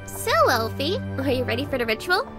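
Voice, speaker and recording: Chirpy young woman mage, put on by a narrator: high, eager, visual novel read-aloud with music behind. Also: very animated, brisk.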